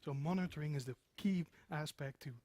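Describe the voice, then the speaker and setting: A man's voice: fairly high pitch, a little fast, warm tone. A middle-aged man giving an informal conference talk, emphatic and repeating phrases for stress. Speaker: conference speaker, software developer. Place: meeting room with seated audience, indoors.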